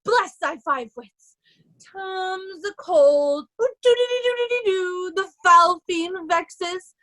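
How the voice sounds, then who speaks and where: A woman playing a raving mad beggar in an amateur Shakespeare reading, wild and chanting with drawn-out nonsense. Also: very high-pitched, fairly even intonation, pushed and bright, exaggerated voice. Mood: raving, tormented. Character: Edgar feigning a raving mad beggar, Poor Tom.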